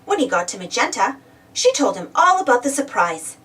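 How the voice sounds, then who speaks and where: A woman narrating a children's story aloud in an animated read-aloud style. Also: fairly high pitch, a little fast, distant mic. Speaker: storybook reader.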